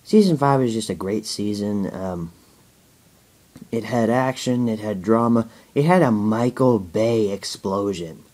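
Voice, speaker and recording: A young man talks casually at home, answering questions from his viewers. His voice sits on the low side, and he stutters, often breaking off and restarting his words between fillers. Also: a little slow, darkish tone. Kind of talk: casual Q&A talk to camera.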